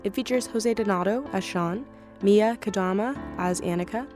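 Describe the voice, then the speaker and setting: A woman reading the closing credits and promotions of a podcast in an even, promotional tone. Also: medium pitch, normal speed. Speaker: podcast announcer. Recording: music playing behind.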